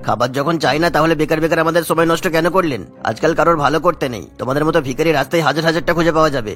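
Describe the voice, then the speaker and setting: Cartoon gentleman, acted by a man: self-important, showy, rapid delivery, higher-than-average male pitch. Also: soft background music, natural voice. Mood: annoyed, contemptuous. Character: self-important, condescending gentleman posing as a benefactor. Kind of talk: cartoon character acting.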